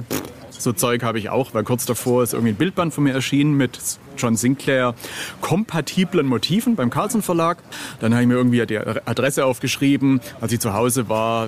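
A man's voice: medium pitch, slight background noise. A middle-aged man chatting casually as an interview guest, telling anecdotes in a jokey, self-deprecating way.

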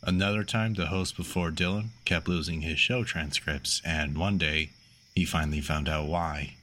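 A man's voice: extremely low pitch, fairly even intonation, normal speed, fairly bright tone. A man playing a casual, slangy radio caller who likes spooky tales, in an audio drama. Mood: storytelling. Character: casual, foul-mouthed male caller fond of spooky tales.

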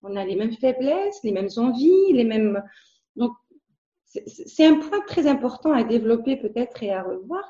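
A woman's voice: fairly high pitch, animated, normal speed, mellow, dull audio. Hesitant woman in a roomy room. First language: Arabic. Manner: hesitant, many fillers and restarts. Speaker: interview guest. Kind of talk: casual interview conversation.

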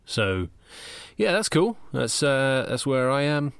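Halting man in a dry, padded space. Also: mid-range voice, big pitch swings, vocal fry, a bit bright, clear, resonant tone, no echo. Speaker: voice actor in an audio fiction podcast. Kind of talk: casual talk about home recording. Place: inside a wardrobe padded with pillows and blankets. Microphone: handheld digital recorder.

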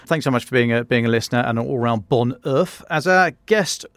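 A man's voice: medium pitch, fairly quick, fairly bright tone, dry recording. British man hosting, close dry sound. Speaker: podcast host. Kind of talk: podcast hosting, addressing listeners and guests.

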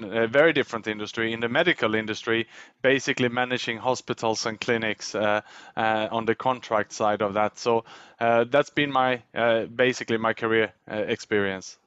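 Swedish-born man, smooth measured interview voice. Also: medium pitch, fairly bright tone, no room sound, dull audio. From Sweden. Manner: measured, with frequent uh fillers. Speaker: podcast guest, author and speaker. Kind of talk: reflective interview talk.

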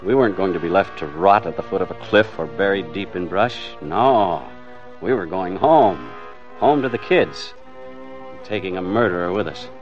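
A man's voice: low-pitched, lively intonation, normal speed. An American actor playing a weary, hard-boiled family man narrating his hostage ordeal in an old-time radio drama. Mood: grim, brooding. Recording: dull old radio recording with music.

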